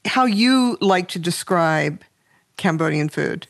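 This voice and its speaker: A woman hosting an interview who asks her questions in a friendly, measured way. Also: on the low side, expressive, gravelly, no echo, studio quality.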